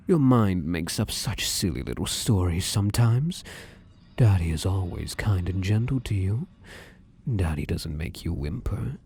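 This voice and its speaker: A man voicing a possessive, domineering male shadow spirit in an ASMR roleplay, speaking slowly and menacingly and often slipping into whispers. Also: low voice, a little mellow, breathy edge, exaggerated voice. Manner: slow, menacing, drifts into whispers.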